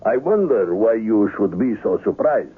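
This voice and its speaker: Husky, courteous underworld host. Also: male voice, fairly low pitch, sing-song, heavily acted. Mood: brisk, suspicious. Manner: formal, courteous, measured speech. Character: suave, wary, courteous older male underworld host named Messina. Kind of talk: 1970s radio drama acting. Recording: muffled, tinny vintage radio recording.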